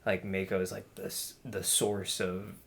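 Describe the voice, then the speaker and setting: A man co-hosting a podcast, chatting casually and excitedly, with frequent laughs and lots of "like". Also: deep voice, moderate pace. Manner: excited, laughs often, says "like" a lot. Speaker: podcast co-host. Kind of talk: casual chat.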